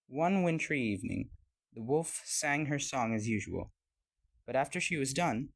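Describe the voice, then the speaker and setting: A man reading a scripted fairy tale as a storyteller, with an expressive storybook delivery. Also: medium pitch, moderate pace, creaky.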